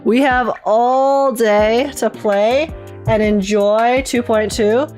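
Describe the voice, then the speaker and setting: A woman hosting a casual gaming livestream, chatting excitably, teasing herself and repeating phrases for emphasis. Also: fairly high pitch, takes it slowly, faint music behind. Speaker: livestream host and gaming reactor. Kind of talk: casual livestream chat and trailer reaction.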